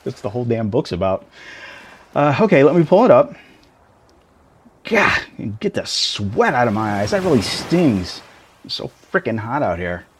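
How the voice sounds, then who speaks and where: A man's voice: medium pitch, big pitch swings, normal speed, pauses often, a little hiss or hum, close to an everyday voice. A man playing a tiny shrunken boyfriend in an intimate ASMR roleplay, playful, teasing and doting, with theatrical exclamations. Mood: chatty, wry.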